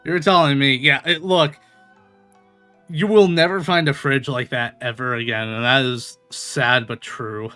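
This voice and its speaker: Male game streamer, bright forceful voice, unhurried rambling casual chat, music playing behind. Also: medium pitch, fairly even intonation. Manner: informal, rambling, chuckling. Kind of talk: casual livestream chat over gameplay.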